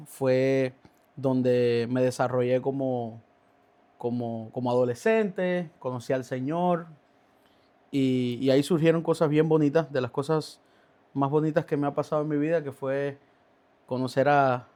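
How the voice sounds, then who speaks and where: A man's voice: mid-range voice, mellow. A man, a podcast guest telling his faith story with many halts and restarts. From Cuba. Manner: halting, many restarts and fillers. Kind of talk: testimony in a podcast interview.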